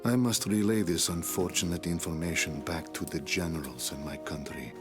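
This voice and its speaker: A deep-voiced, weary occupying officer voiced by a man narrates a game cutscene. He is observant and formal, with measured, melancholy delivery. Music is clearly heard behind him. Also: natural voice. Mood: regretful. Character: weary, observant military intelligence officer of an occupying air force. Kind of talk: scripted dramatic narration.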